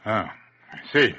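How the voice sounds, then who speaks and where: Bright-voiced, formal police inspector. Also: male voice, lowish voice, husky, muffled recording, heavily acted. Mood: doubtful, polite. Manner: polite, officious, measured. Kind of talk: 1940s radio acting. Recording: noisy vintage 1940s radio recording.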